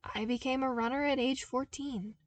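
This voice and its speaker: A woman's voice: high voice, fairly even intonation, moderate pace, dry recording, voice pushed up, natural voice. A woman voicing a young man, a defensive former smuggler in an audiobook, who explains things plainly and dismissively. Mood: calm, dry. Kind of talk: audiobook character dialogue.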